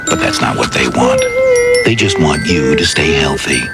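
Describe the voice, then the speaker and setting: A man narrates a scripted health advertisement in a very deep voice. His pitch swings widely, and loud music sits behind him. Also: huge pitch swings, moderate pace. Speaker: health ad announcer.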